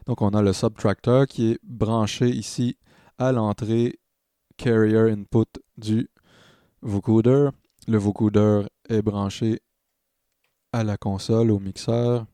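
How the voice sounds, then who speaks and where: A man with a dark, soft-edged voice talks through a software tutorial in a dry, close recording. He takes his time, hesitating and thinking aloud as he shows each step, like a tutor working live at the screen. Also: on the low side, no echo.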